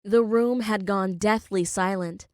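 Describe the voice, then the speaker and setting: A woman's voice: mid-range voice, steady tone, a little slow, a little mellow, no echo, studio quality. A woman reading scripted first-person fiction as an audiobook narrator.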